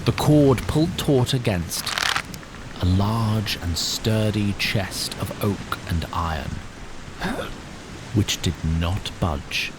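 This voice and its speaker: A man's voice: on the low side, animated, takes it slowly, background noise. A man narrating an audio drama in scripted, literary, slightly wry storybook style.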